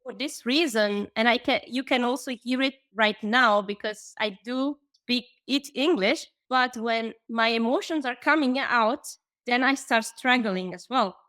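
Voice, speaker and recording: Italian woman guest, halting English, dry close mic. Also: a little high, fairly bright tone, no echo. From Italy. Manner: hesitant, many fillers and restarts. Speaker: guest, language coach. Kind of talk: casual interview conversation.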